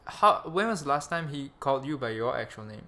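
A man's voice: mid-range voice, sing-song, brisk, pushed and bright. A young man with a Malaysian accent hosting a podcast in a rambling, crude, self-mocking casual chat. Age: young adult. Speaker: podcast host.

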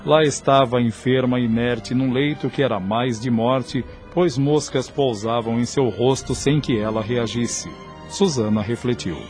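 Male narrator, Brazilian radio serial: scripted storytelling, medium-pitched voice, faint music bed. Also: a little slow.

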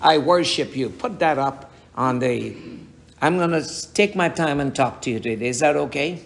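A middle-aged man preaching a sermon to his congregation, with a raspy voice and emphatic rhetorical questions. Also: on the high side, moderate pace. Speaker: pastor.

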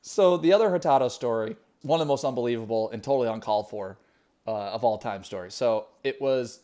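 A man co-hosting a podcast, recalling stories in an animated, jokey casual chat. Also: medium pitch, fairly quick. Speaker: podcast co-host.

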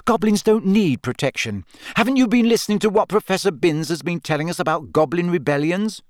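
A man's voice: high voice, animated, brisk, no echo, clean studio sound, pitched above the performer's own voice. A man voicing a clever, bossy teenage schoolgirl from a storybook, indignant and emphatic. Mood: sarcastic, insistent. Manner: emphatic, sharp-tongued.

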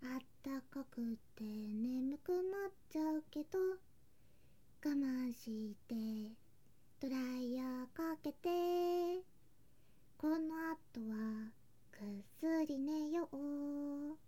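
A woman voicing a sweet, affectionate younger girl cousin in a Japanese ASMR situation drama, in a cutesy, clingy manner with soft whispery touches. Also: high-pitched, lively intonation, very slow, exaggerated voice. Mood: sleepy, soft.

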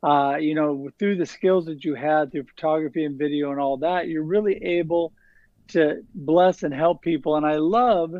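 A man's voice: on the high side, moderate pace, no echo, narrow, tinny audio. A man hosting a podcast, praising his guest and asking questions, with many "you know"s. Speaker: podcast host. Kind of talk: casual interview talk.

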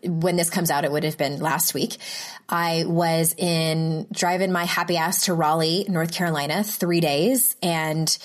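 Chatty woman podcast host, lowish voice. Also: fairly even intonation, a little slow. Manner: chatty and self-deprecating, laughs often. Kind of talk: casual solo podcast monologue. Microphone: home podcast microphone.

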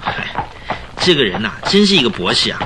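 A man acting a gossipy, sympathetic village coachman in a radio drama, chatty and folksy with drawn-out hesitations. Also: mid-range voice, sing-song, lightly acted. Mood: gossiping, sympathetic. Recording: noisy track with clicking sounds.

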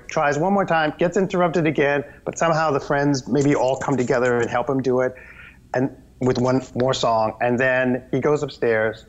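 Brief: a man in a casual interview, mid-pitched, animated and rambly, on slightly dull audio. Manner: animated, rambling, with many restarts. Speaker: guest, children's TV performer.

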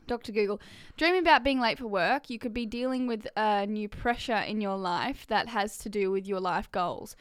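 A woman co-hosting a podcast in casual chat, animated and rambling with quick laughs and restarts. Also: medium pitch, smooth, no echo, studio quality. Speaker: podcast co-host.

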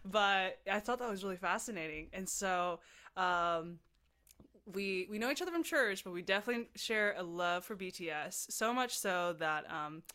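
A woman hosting a casual conversation, warm in her questions, with lively, excited rises and frequent laughter. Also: mid-range voice, normal speed. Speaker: host. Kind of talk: casual interview.

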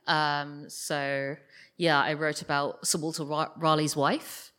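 A woman's voice: deep voice, level tone, slow, a bit bright, clean tone. A woman guest in a casual live stage interview, talking in a hesitant, wry way. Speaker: interview guest, audio drama writer. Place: live stage at a podcast festival.

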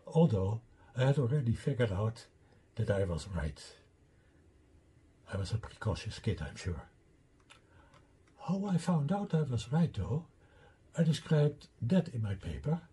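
An older man with a Dutch accent lectures over slides at an unhurried pace, and his voice is very husky. His pitch swings widely as he leads through his points with asides. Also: dark tone. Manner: deliberate, explains step by step with asides. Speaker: lecturer presenting his own theory.

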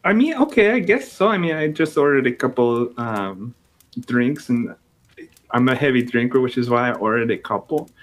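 A man's voice: medium pitch, animated, moderate pace, close to an everyday voice. A man playing a vain, tipsy female actress with a put-on Colombian Spanish accent in an improvised comedy bit. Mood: haughty tipsy. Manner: haughty, blunt, rambling.

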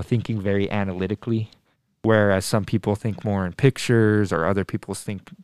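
A man in his twenties from Denver, a math teacher, talks through students' questions. His voice sits fairly low and sounds smooth and resonant. He speaks earnestly and thoughtfully, often pausing with ums and restarting his sentences. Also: darkish tone, no room sound. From Denver, USA. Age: 20s. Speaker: middle school math teacher. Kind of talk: casual interview answers to students.

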